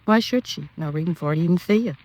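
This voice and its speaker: A woman's voice: on the low side, animated, very fast, darkish tone, slight background noise, close to an everyday voice. An actress playing a bitter, resentful woman in a 1950s radio crime drama, talking in terse, clipped colloquial phrases. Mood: defensive. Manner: terse, clipped working-class colloquial talk. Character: bitter, resentful woman blaming her neglectful sister.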